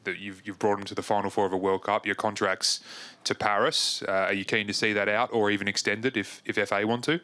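A man's voice: fairly low pitch, flat delivery, a little fast, bright, forceful tone. A man reporter asking a coach a polite, lightly hesitant question at a press conference, heard from off-mic.

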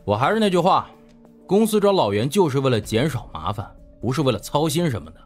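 A man's voice: mid-range voice, sing-song, fairly quick, faint music behind. A man playing an arrogant, impatient gangster boss in an audiobook, curt and contemptuous.

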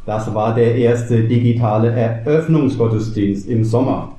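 A pedantic male teacher acted by a man in a comedy audio play. The voice is extremely dark and muted in tone, dry and deliberate, sounding in a roomy space. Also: medium pitch, steady tone, lightly acted. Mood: matter-of-fact. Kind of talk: scripted cabaret audio play.

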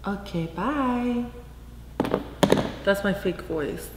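A woman in her thirties chatting brashly and playfully through a try-on haul, filmed by a far camera in a large, bright, empty house. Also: medium pitch, expressive, extremely slow. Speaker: fashion vlogger. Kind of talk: casual try-on haul chat. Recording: echoey bare room with noise.

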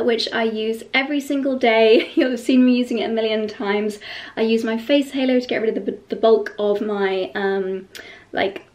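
A woman in her thirties, vlogging to a close camera in a bright bedroom, chatty and gushing, a little stuffy from a cold. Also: on the high side. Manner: gushing and chatty, with light laughs. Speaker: lifestyle vlogger. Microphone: camera held close.

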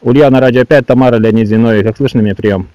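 A man's voice: medium pitch, fairly even intonation, very fast, mellow, muffled recording. A man, speaking as an amateur radio operator making calls and commenting between them. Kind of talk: radio calls with phonetic alphabet and muttered commentary. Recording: noisy radio static with clicks.

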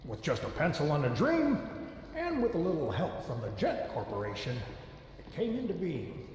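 A self-assured, theatrical studio founder, grand and confiding in a game cutscene. His slightly high voice rises and falls dramatically. Heavy hall reverb and faint ambience surround him. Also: huge pitch swings, normal speed, darkish tone, a little hiss or hum. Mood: ominous. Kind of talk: video game cutscene acting.

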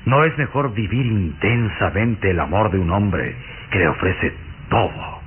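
Creepy smooth count wooing someone, insinuating n low, hissy old radio drama. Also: male voice, lively intonation, unhurried. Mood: seductive, intense. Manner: whispering, insinuating, courtly. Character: pale suave count, intense, scheming suitor. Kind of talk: old radio serial acting. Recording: muffled, hissy old radio serial recording.

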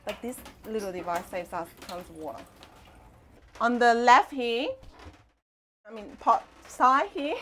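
Young female vlog host, fairly high voice, casual guided-tour delivery, recorded in a compact boat cabin. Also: a little hiss or hum. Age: young adult. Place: inside a small sailboat cabin.

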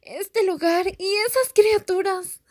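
A woman playing a timid, bewildered high school girl in a game fan dub, hesitant and frightened. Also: takes it slowly, darkish tone. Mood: frightened, confused.

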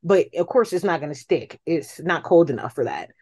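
A woman's voice: deep voice, lively intonation, normal speed, a little mellow, husky. An American woman in casual chat as a podcast guest, telling stories and acting out reactions.

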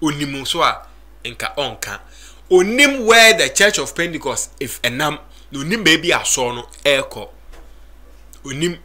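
A man in his thirties hosting a commentary show from a small studio at a desk microphone, talking in a measured way. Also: on the high side, animated, a crowd in the background. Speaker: host.

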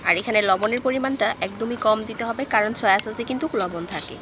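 A woman narrates a home cooking video, moving briskly from one step to the next with a calm, explanatory manner. Her voice sits a little high, and a steady noise runs under the recording. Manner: calm, explanatory, gives tips. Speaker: cooking channel host. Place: outdoors on grass, cooking on a stove.

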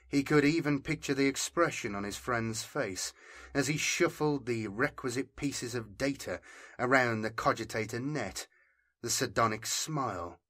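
Man, mid-pitched, audiobook narration, measured, serious, dramatic storytelling. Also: a bit bright, husky. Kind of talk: dramatic scripted fiction narration.

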